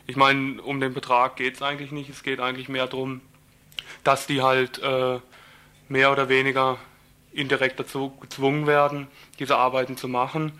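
A man speaks informally, as in an interview. His voice is bright and firm, with fairly flat intonation. He hesitates and searches for his words as he goes. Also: mid-range voice, moderate pace. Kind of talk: informal spoken interview answer.

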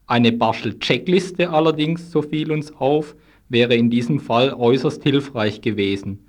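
A male radio presenter with an ordinary mid-pitched voice reads a satirical news commentary. His delivery is scripted and deadpan, with dry irony. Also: unhurried.

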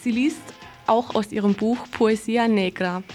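A woman presenting event listings on the radio, reading informally and a bit haltingly. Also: on the high side, steady tone, a little mellow. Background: music.

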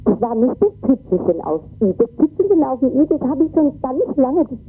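A distressed woman giving an agitated, halting eyewitness account in an interview, often repeating words and tagging her sentences with "ne". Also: sits high, big pitch swings, narrow, tinny audio, faint music behind. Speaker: interviewee, volunteer refugee worker.

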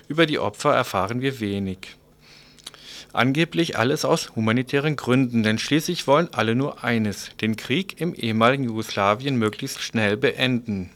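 A male radio presenter with a medium-pitched voice. He reads a written news report in long, formal sentences and leads into the items that follow. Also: fairly bright tone. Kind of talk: scripted news narration.

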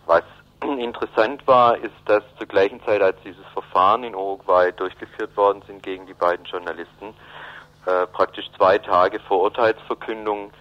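A man giving a halting, explanatory answer in a radio interview. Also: fairly low pitch, fairly even intonation. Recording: dull lo-fi radio recording.

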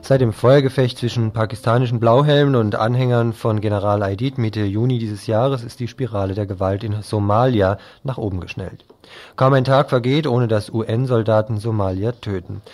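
A man's voice: on the low side, steady tone, moderate pace, darkish tone. A man presenting event announcements on local radio, reading from prepared texts.

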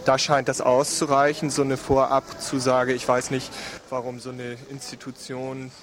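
A man's voice: medium pitch, completely flat delivery, normal speed. A man speaking spontaneously as a member of a housing initiative in an interview. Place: outdoors on a town square. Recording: outdoor street interview with crowd and traffic.

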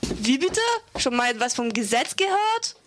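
Actress as defiant young female flatmate, slightly high voice, brisk and organised, radio play. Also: a little hiss or hum. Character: young female flatmate, level-headed and defiant toward police. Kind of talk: amateur radio play acting.

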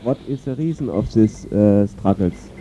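A man putting interview questions in a halting, hesitant way. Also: fairly low pitch, animated, warm tone, dull audio.

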